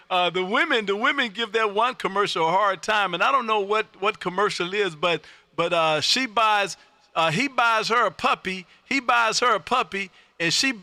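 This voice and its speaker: A man's voice: high-pitched, pushed and bright, dry recording. An exuberant, folksy man hosting an amateur radio livestream, chatting on air and often repeating his phrases. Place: home radio room.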